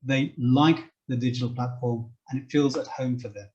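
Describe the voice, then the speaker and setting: A man's voice: medium pitch, steady tone, normal speed, a little mellow. A man giving an informal, step-by-step screen-share demonstration as a presenter, explaining with stressed key words. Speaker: presenter demonstrating online therapy card software. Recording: dull, lo-fi microphone sound.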